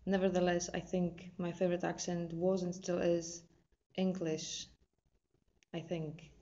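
A woman's voice: on the low side, little pitch movement, unhurried, mellow. A woman in her twenties from Poland with a Polish accent, a drama student talking casually and hesitantly about herself, in a dull lo-fi recording. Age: 20s. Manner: hesitant, many ums and restarts. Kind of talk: casual personal talk.

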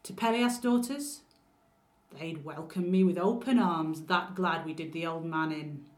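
A woman's voice: deep voice, unhurried. A woman from Lancashire, speaking with a Lancashire accent, voicing a bitter, vengeful wife of myth who was betrayed by her husband, with sarcastic, declaiming lines. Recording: roomy echo.

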